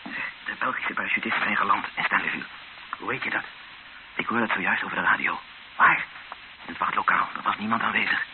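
A tense, sober male captive played by an actor speaks low and earnestly, as the witness at the centre of a radio play. The sound is thin and tinny, like an old broadcast, with clear noise. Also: fast talker, lightly acted. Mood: urgent. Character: tense captive Dutchman, a witness recounting his ordeal. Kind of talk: first-person radio drama narration and dialogue.

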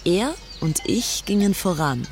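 A woman's voice: lowish voice, lively intonation, a little slow, music in the background. An actress playing a self-assured, brazen young upper-class English woman devoted to a dictator, narrating her diary in a German audio docudrama. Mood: dreamy, content.

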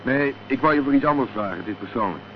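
An actor playing a laconic, wary private detective in a radio drama, speaking in a terse, matter-of-fact way. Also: male voice, mid-range voice, animated, fast, lightly acted. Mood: businesslike. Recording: dull, faintly noisy old radio play recording.

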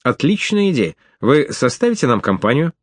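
A man reading the lines of a calm, dryly humorous man in an audiobook. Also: mid-range voice, moderate pace, dry recording, clean studio sound. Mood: wry.

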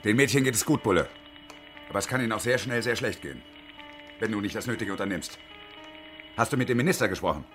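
An actor playing a bitter, desperate hostage-taking engineer in his fifties in a radio drama, with sardonic, menacing, deliberate phrasing. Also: fairly low pitch, a bit bright, soft background music, close to an everyday voice. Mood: cold threat. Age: middle-aged.

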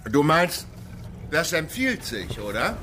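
Slow, high-pitched, sardonic village man. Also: big pitch swings, noisy background. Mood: sardonic, wary. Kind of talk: radio crime drama dialogue.

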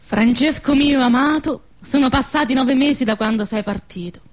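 An actress playing a lovesick young peasant woman in wartime, in expressive radio drama with a central Italian accent. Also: high voice, moderate pace, close to an everyday voice. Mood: tender, yearning. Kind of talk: stage-style radio drama acting.

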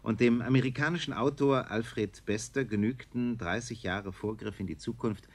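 A man presenting on radio, reading a scripted introduction in a measured, formal, literary manner. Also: mid-range voice.

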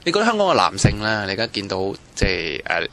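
A man interviews people informally with a Hong Kong Cantonese accent. His voice is fairly low and he talks quickly. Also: wildly swooping pitch, gravelly, a bit bright, a little hiss or hum. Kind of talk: informal vox-pop questioning.

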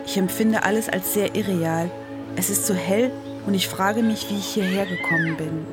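A woman's voice: medium pitch, normal speed, music in the background. A woman giving scripted first-person narration as the author of the piece.

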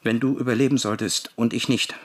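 Actor as husky, resolute wartime conspirator. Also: male voice, medium pitch, steady tone, fairly quick, lightly acted. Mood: bitter, restrained. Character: resolute, betrayed male wartime conspirator, bitterly restrained. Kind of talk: radio drama acting.

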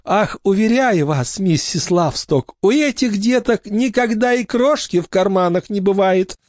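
A man voicing a nervous, fawning woman who runs an orphanage and flatters her guest. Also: high voice, measured pace, no echo, studio quality, voice pushed up. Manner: simpering, ingratiating.

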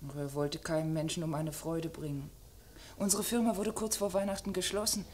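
Actress doing guarded sad-ish woman over forty, old radio drama, lowish voice, restrained, slight noise. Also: level tone, a little fast, a little mellow, natural voice. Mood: defensive, earnest. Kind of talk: mid-century German radio crime drama acting.

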